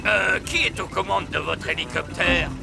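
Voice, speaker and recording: A man voicing an elderly, gruff but obliging grandfather dog in a children's cartoon, with a gravelly voice. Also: sits high, normal speed. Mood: flustered. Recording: music behind the voice.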